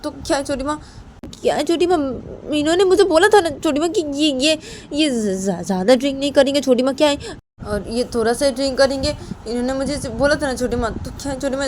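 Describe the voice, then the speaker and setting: Woman doing a nervous young daughter-in-law, high pleading voice, stammery and repetitive, slight bg noise. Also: a little mellow, lightly acted. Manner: stammering, repetitive, self-excusing.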